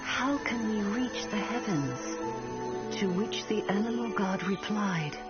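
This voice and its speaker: A woman playing a calm, solemn storyteller, narrating a fable in a measured, lyrical manner for a video game. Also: medium pitch, natural voice. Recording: slightly muffled, loud music underneath.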